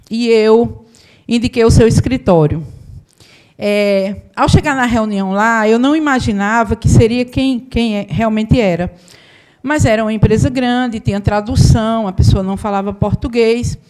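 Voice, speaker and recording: A woman's voice: a little high, normal speed, darkish tone. A woman, an accountant and business owner telling her story in a conversational live talk to an audience. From Paraíba, Brazil. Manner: conversational, often asks the audience "né?".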